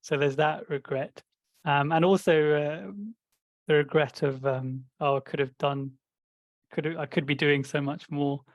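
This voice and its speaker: A man's voice: a little high, steady tone, measured pace, dry recording, muffled recording. A man co-hosting a podcast, talking things through in a thoughtful, explanatory way with many fillers. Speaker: podcast co-host. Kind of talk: casual discussion.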